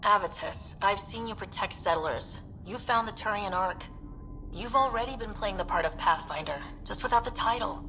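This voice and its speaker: A woman playing a steady, caring young team leader in a video game, speaking earnestly and firmly. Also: medium pitch, normal speed, faint music behind, natural voice, poor audio. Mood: earnest reassurance.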